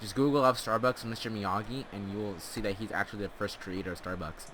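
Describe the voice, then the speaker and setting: A man's voice: lowish voice, fairly even intonation, quick pace, a bit bright, slight background noise. A man telling comic stories casually over gameplay, rambling in a tongue-in-cheek, mock-earnest way. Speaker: host of a gaming storytelling channel.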